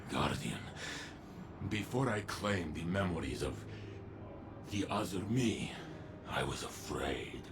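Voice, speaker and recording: A solemn old robot warrior in a video game. He speaks low and slowly, with grave, earnest resolve, while music plays underneath. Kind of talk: video game character monologue.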